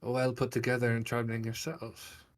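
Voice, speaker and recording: A man's voice: medium pitch, monotone, moderate pace, lightly acted. A man voicing a charming, flirtatious sea captain lord, a playful rogue with a teasing, polite manner in a tabletop game.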